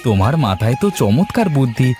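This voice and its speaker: A man's voice: medium pitch, sing-song, quick pace, warm tone, faint music behind, lightly acted. A man playing a grumbling but affectionate village husband in a dramatised moral story.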